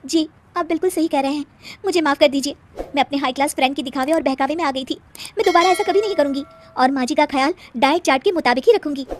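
A woman's voice: sits high, fast talker, slight background noise, close to an everyday voice. A woman voicing an earnest young daughter-in-law in a Hindi cartoon moral story, insistent and emphatic. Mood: apologetic.

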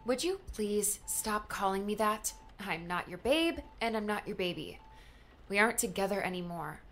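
A woman's voice: mid-range voice, expressive, normal speed, fairly bright tone, a little hiss or hum, natural voice. A woman acting a sarcastic, irritated young woman. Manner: dry, cutting. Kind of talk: audiobook character dialogue.

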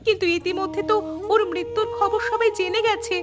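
A woman's voice: very high voice, steady tone, fairly quick, music in the background. A woman voicing a frightened, earnest young village wife in a horror cartoon. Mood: anxious.

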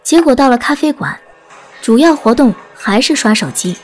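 A woman narrates an audiobook in a fairly high voice. Her intonation swings widely and expressively. Soft music plays under her reading. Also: lively intonation, normal speed. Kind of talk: scripted story narration, audiobook. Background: background music.

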